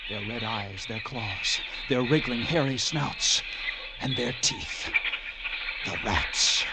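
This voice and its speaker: An actor playing a lighthouse keeper who narrates his ordeal in hushed, dread-filled tones, in 1940s radio acting style. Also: medium pitch, slow, heavy background noise. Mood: tense. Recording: old radio recording with music.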